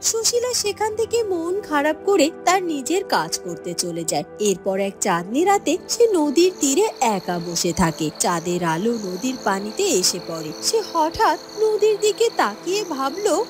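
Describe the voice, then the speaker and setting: A woman's voice: sits high, very animated, a little slow, music in the background. A woman narrator telling a scripted fairy tale.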